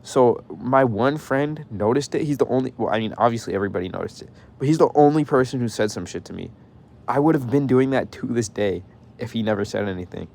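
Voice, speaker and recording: A man's voice: medium pitch, fairly quick, creaky, darkish tone. A young man ranting casually to his viewers, profane and heated, saying bro constantly and stuttering when he gets mad. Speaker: vlogger.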